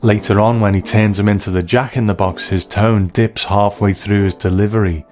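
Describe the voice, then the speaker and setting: A man's voice: fairly low pitch, steady tone, moderate pace, darkish tone. A man hosting a scripted retrospective, giving appreciative commentary on a classic show. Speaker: host. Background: music.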